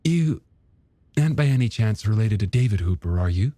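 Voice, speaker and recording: A man voicing a polite, warm and slightly shy married woman in an audiobook, gently conversational. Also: fairly low pitch, lively intonation, brisk, no room sound, pitched above the performer's own voice. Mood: shy, curious. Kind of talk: audiobook character dialogue.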